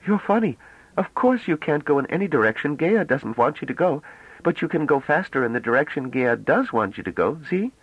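A man reading a novel aloud, voicing a chatty, naive young woman who talks rapidly and almost breathlessly. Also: fairly high pitch, very animated, husky, dry recording, pitched above the performer's own voice, exaggerated voice. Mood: eager. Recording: dull lo-fi dry audio.

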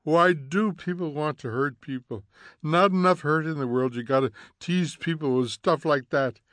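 A shrill, indignant housewife, put on by a male narrator, scolding and accusing in a high, loud voice. She is an audiobook figure with a dry, close sound. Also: unhurried, no room sound, lightly acted. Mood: scornful.

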